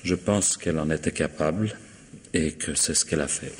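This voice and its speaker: An actor playing a dignified, defensive widower under suspicion, guarded and pointed, in old radio mystery style. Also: male voice, rumbling low, normal speed. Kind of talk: 1950s French radio mystery acting. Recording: reverberant, noisy old radio drama sound.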